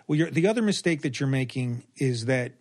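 A man's voice: medium pitch, expressive, brisk, a little mellow. A middle-aged man speaking as a doctor and podcast guest, explaining things casually in a matter-of-fact way.

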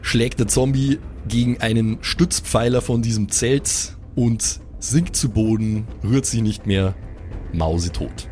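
A man's voice: mid-range voice, slow, darkish tone, soft background music. A man improvising vivid, mock-dramatic narration as the game master of a tabletop role-playing game.

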